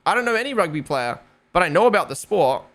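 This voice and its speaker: A man's voice: high-pitched, very animated, fast talker, fairly bright tone. A young man with a New Zealand accent, rambling casually as a live stream host, full of fillers and swearing. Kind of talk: casual live watch-along chat.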